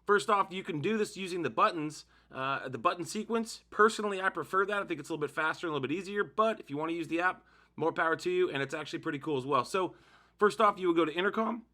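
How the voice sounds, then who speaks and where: Casting: male tutorial host, slightly high pitch, bright forceful tone, informal step-by-step delivery. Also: fast talker. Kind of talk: casual how-to demonstration narration.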